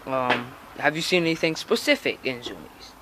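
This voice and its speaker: A man casually interviewing someone from behind a handheld camera on a porch, hesitant and filling pauses with "um" and "all right". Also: medium pitch, expressive, heavy background noise. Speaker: interviewer filming a young skater. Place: outdoors on a house porch.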